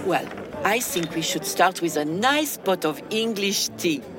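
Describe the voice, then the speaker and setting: Unhurried, elegant older Frenchwoman. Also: fairly low pitch, animated, over music. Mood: teasing. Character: elegant wealthy older French widow, worldly and teasing. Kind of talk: audio drama acting.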